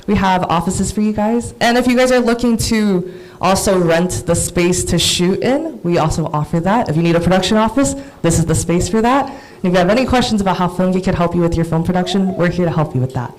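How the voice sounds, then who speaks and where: A woman's voice: fairly low pitch, fairly quick, a little mellow, slight background noise, rough recording. A woman hosting a live table reading, giving a chatty, upbeat welcome with some restarts. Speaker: event host. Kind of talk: casual welcome speech.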